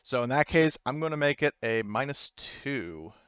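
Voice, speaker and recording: A man's voice: mid-range voice, sing-song, normal speed, fairly bright tone, clear, resonant tone, dry recording. Man running a game, slightly dull audio. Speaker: game master. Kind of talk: casual narration of a tabletop game.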